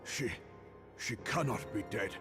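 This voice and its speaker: An actor playing a fierce, emotional general in a fantasy game, speaking with halting, dramatic intensity. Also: male voice, mid-range voice, close to an everyday voice. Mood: grieving disbelief. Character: grief-stricken, vengeful male general, honest and fierce. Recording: game music behind. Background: music.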